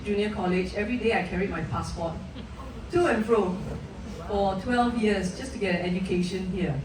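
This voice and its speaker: A middle-aged woman from Malaysia, a guest speaker telling her personal story at a live event, earnest, with many restarts. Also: mid-range voice. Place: event hall. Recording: distant echoey hall mic, noisy.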